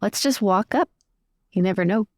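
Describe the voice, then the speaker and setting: A woman's voice: medium pitch, moderate pace, dry recording. A woman playing a tabletop roleplaying game, casually speaking in character and asking questions.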